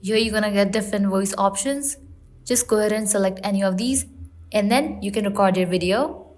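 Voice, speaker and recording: A woman narrates a how-to tutorial at a medium pitch. She delivers scripted instructions in short, measured phrases, guiding the listener step by step. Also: darkish tone.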